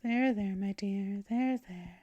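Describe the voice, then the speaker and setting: A woman voicing a gentle, possessive female vampire mistress in an ASMR roleplay, speaking softly and often dropping into whispers and shushing. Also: medium pitch, slow pace, lo-fi sound, noisy background, natural voice. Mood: soothing. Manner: soft and soothing, drifting into whispers and shushing. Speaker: ASMR performer.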